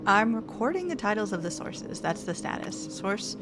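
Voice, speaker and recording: A woman's voice: mid-range voice, sing-song, normal speed. Chatty woman streamer with game music. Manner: chatty, playful, talks to herself. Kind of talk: playing a game live. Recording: game music loud under voice.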